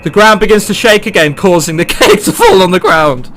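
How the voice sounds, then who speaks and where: Lively, high-pitched man reading a visual novel. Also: a little fast. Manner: exclamatory, sweary. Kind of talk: dramatic read-aloud with joking commentary.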